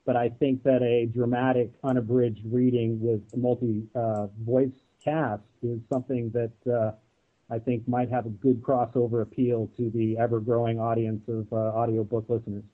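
A man giving casual, thoughtful interview answers as a guest, speaking haltingly over an internet call. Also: mid-range voice, level tone. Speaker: interview guest, audio drama producer. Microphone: remote audio call. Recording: thin, phone-like internet call.

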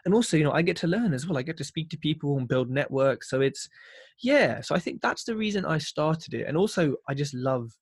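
A man's voice: fairly high pitch, expressive, a little fast, slightly rough, no echo, studio quality. A British man talking casually as a podcast guest, in a chatty, emphatic way. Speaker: podcast host interviewed as guest. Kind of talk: casual conversational interview.

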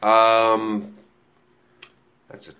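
A man's voice: fairly low pitch, monotone, drawn-out words, pushed and bright. A man who runs a comic shop, chatting casually with many restarts and frequent laughs. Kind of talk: casual chat.

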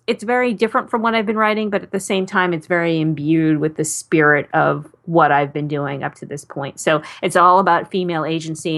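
A woman novelist chatting casually in an interview, talking in an animated, emphatic way. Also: deep voice.

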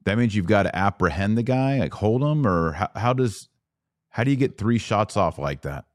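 A man with a low, deep voice asks questions and thinks out loud as a podcast host. He, and the sound is dry and close. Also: animated, normal speed, dry recording. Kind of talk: casual podcast conversation.

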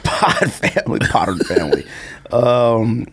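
A man chats casually as a podcast co-host, his pitch swinging around in a very lively way. He is slangy and jokey, and he has a cold that leaves him slightly under the weather. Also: unhurried, slightly rough. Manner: animated, joking, slangy. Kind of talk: casual chat.

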